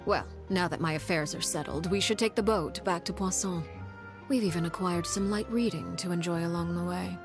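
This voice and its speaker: A woman voicing a cool, calculating young woman of power in a video game, with a measured, formal and condescending manner. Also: fairly low pitch, music in the background, lightly acted. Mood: cool, composed. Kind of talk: video game character dialogue.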